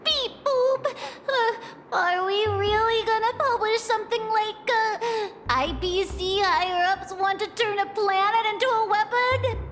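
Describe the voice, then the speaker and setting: A woman's voice: extremely high pitch, unhurried, fairly bright tone, long reverb tail, faint music behind. A woman voicing a cute, childlike little robotic critter in a video game, in a cutesy manner. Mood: hesitant. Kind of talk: video game character acting.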